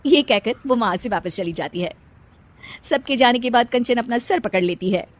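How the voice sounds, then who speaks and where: A woman's voice: on the high side, lively intonation, normal speed. A woman narrator reading a scripted cartoon story.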